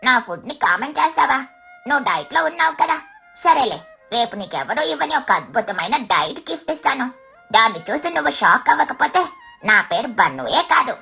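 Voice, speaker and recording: A woman acts out a chubby, greedy boy parrot from a children's cartoon. The voice is high and lively, with a whiny, boastful edge. Music plays behind it. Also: fairly quick, creaky, heavily acted. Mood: offended. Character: gluttonous chubby young boy parrot, huffy and cheeky. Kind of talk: children's cartoon story acting.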